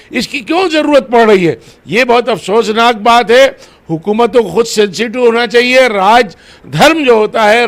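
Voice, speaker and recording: A man answering interview questions as head of a religious organisation, deliberate and formally worded. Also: extremely high pitch, a bit bright.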